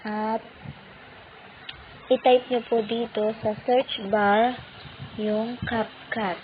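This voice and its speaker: A woman's voice: fairly high pitch, steady tone, very slow. A woman speaking with a Filipino accent, walking viewers through a phone app tutorial step by step in a polite, patient way. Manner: polite, patient, uses many "po" courtesy markers. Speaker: tutorial channel host. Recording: tinny phone-like audio with faint noise.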